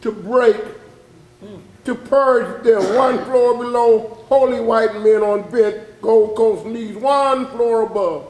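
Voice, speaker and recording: A man performing an anguished high-voiced witness, slow, echoey. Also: darkish tone. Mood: pleading, anguished. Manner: intense, incantatory, drawn-out emphatic words. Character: anguished voice bearing witness for enslaved captives. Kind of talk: dramatic spoken-word poetry recitation, readers theatre. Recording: echoey room on stage.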